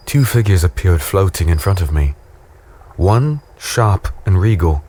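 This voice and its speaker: A man playing a battered, weary warrior who narrates a dark fantasy audio drama in a grim, halting, brooding way. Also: low-pitched, a little hiss or hum. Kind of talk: dramatic first-person scripted narration. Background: music.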